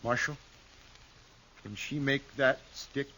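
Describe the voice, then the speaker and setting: A slow, weary rancher. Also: male voice, mid-range voice, poor audio. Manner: slow, quiet and hurt. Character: weary, plain-spoken male rancher. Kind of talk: 1950s radio drama acting.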